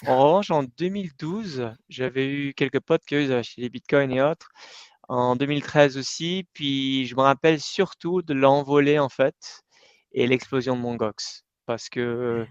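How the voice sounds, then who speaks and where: A man's voice: medium pitch, normal speed, smooth, no echo. A middle-aged man, a company cofounder, talking as a guest in a casual interview, telling his story with lots of fillers and restarts. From Iran and Switzerland, raised in the United States. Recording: dull, slightly lo-fi dry recording.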